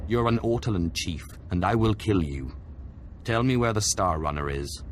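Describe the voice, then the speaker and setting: A man's voice: on the low side, moderate pace, a little hiss or hum, close to an everyday voice. A male actor playing an imperious, cold Sith lord in a video game, haughty and threatening in every word. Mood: cold menace.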